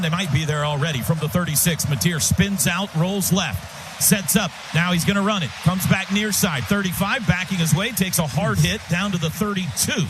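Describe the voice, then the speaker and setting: A man doing live radio play-by-play of college football, calling action in short, urgent, excitable phrases that swell into shouts. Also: high-pitched, noisy background.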